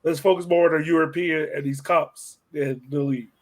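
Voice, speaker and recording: Slightly high-voiced man, casual sports chat. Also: fairly even intonation, a little fast. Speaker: sports podcast co-host.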